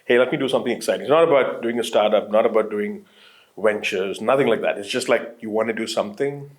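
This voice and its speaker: Man, late thirties, from Qatar, fairly low voice, casual interview talk, rambling, many fillers and restarts. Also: quick pace. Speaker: entrepreneur guest.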